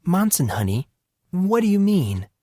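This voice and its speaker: A man's voice: sits high, big pitch swings, unhurried, warm tone, no room sound, clean studio sound, pitched above the performer's own voice, exaggerated voice. A man voicing a smug, prying, motherly woman with a sweetly insistent manner in an audiobook. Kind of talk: audiobook character voice.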